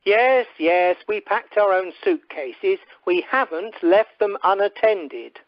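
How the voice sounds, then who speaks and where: Woman playing a stuffy, irritable husband, animated. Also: mid-range voice, slow, no echo. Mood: irritated. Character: stuffy, irritable well-dressed middle-aged husband. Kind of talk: amateur radio comedy acting. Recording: dull, dry telephone conference line.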